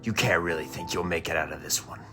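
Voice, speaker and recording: An actor playing a menacing, exasperated gang enforcer, streetwise and profane, in an audio drama. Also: male voice, deep voice, quick pace, music in the background, close to an everyday voice. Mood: coolly threatening. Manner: threatening, persuasive, streetwise.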